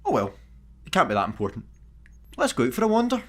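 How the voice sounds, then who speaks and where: Grumbling Scottish spaceman with lively pitch, played by a man. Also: fast. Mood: casual grumbling. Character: casual, grumbling male space traveller, impatient everyman. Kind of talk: sci-fi comedy radio play acting.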